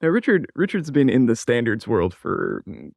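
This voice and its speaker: Creaky-voiced male interviewer. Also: medium pitch, sing-song, normal speed, a little mellow, no room sound, studio quality. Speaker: interviewer and show host. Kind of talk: conversational recorded interview.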